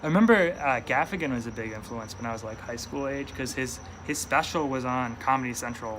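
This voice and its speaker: A man's voice: medium pitch, brisk, noisy background. A young man from upstate New York with a mild New York accent, a stand-up comedian chatting in an interview, rambling and hesitant with lots of fillers. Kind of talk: casual interview chat.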